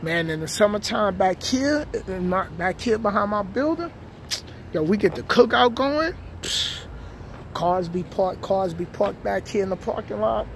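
A man's voice: high voice, animated, a little slow, creaky. A middle-aged man with a New York accent, casually vlogging while walking outdoors at night, talking in a laid-back, reflective way with street slang, recorded on a phone held at arm's length. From New York City. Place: outdoors at night on city streets and housing project grounds. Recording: outdoor street noise with passing traffic.

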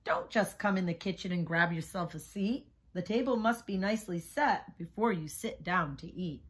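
A woman's voice: fairly low pitch, normal speed, breathy edge. A woman voicing a firm but encouraging storybook mother who gives orders and coaxes. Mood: brisk, instructive. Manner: giving orders, coaxing. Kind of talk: picture-book character reading.

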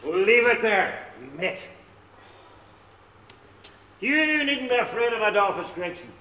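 An actor playing a drunken, boastful, preachy middle-aged husband on stage. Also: male voice, high voice, lively intonation, slow, bright, forceful tone, full character voice. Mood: blustering. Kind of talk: stage play acting. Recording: echoey hall, far from mic.

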